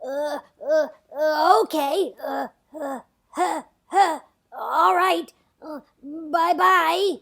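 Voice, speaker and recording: Timid, stammering cartoon schoolboy, high-pitched and slow, comic table read. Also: female voice, gravelly, a bit bright, exaggerated voice. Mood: nervous, whiny. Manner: stammering, hesitant. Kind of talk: comedic impression at a table read.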